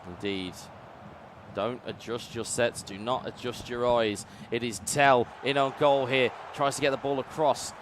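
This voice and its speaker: A man calls an esports football match play by play, his voice sitting at a middle pitch. He turns excitable and booming whenever a chance comes. Faint traffic noise hums in the background. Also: measured pace. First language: English. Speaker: esports commentator. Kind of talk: play-by-play commentary.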